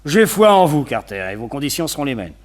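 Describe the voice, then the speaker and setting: An actor playing a well-connected, opinionated society gentleman in a French radio detective play, talkative, confiding and wryly gossipy. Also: on the high side, big pitch swings, a little fast. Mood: urgent, trusting.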